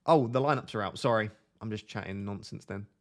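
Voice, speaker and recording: Young man, monotone, casual live-stream host, self-deprecating. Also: on the low side, fairly quick. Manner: self-deprecating, chatty, reads out chat messages. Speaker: livestream host. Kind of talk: casual live-stream chat, answering viewers.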